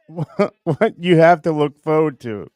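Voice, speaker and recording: Halting male show host, slightly high voice. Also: darkish tone, no room sound. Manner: halting, stop-and-start, talking straight to viewers. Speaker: show host introducing a puppet bit. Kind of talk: casual comedic show talk.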